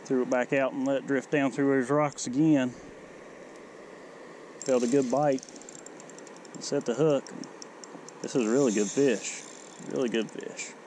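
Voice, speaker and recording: A man's voice: steady tone, unhurried, pauses often, a little mellow, slight background noise, rough recording. Laid-back man narrating his river fishing. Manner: low-key, talks to himself while reeling in fish. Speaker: angler filming his catch. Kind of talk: casual fishing commentary. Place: outdoors, standing in a shallow river. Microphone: head-mounted action camera.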